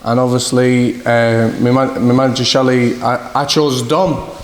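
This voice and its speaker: Young boxer with a northeast English accent. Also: male voice, medium pitch, flat delivery, measured pace. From Scotland. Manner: rambling, repeats "do you know what I mean". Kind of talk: casual interview answers. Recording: echoey hall with faint background noise.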